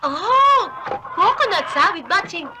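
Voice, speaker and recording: A flamboyant, vain flirt. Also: female voice, extremely high pitch, very animated, slow, heavy background noise, heavily acted. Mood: flirty, playful. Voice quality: theatrical, switches into English. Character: vain, flirty, dramatic woman. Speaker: comic lead in a sitcom. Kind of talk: broadcast sitcom acting.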